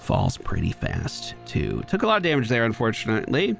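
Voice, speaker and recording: A man's voice: medium pitch, huge pitch swings, brisk, vocal fry, a bit bright. A man hosting a gaming video, chatting and thinking aloud analytically as he plays. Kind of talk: gameplay commentary. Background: faint game music.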